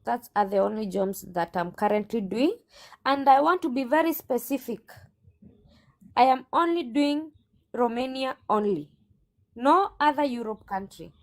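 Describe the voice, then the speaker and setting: Kenyan lady, high voice, agent in an interview, pushes back hard and repeats her points. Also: normal speed. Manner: assertive, emphatic, repeats herself. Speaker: recruitment agent being interviewed. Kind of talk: combative interview answers.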